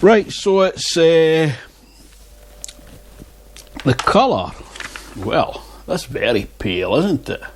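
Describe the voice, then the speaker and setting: A man's voice: on the high side, very animated, slow, pauses often, slight background noise. A man reviewing beer in a casual vlog, chatting and muttering asides to himself on a lapel mic. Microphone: wireless lapel mic.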